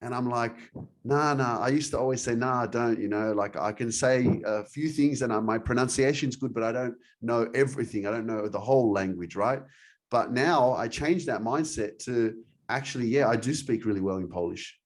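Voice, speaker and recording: A man's voice: mid-range voice, normal speed. A man hosting a motivational podcast, enthusiastic and encouraging, talking with many fillers. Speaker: podcast host. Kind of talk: energetic podcast interview.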